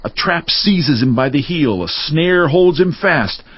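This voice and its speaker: Husky, indignant, scolding accuser. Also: male voice, a little high, animated, unhurried, dry recording. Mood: stern warning. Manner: stern, accusatory, declamatory. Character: indignant, scolding man rebuking a suffering friend. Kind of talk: dramatized scripture audiobook acting. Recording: dull lo-fi audio.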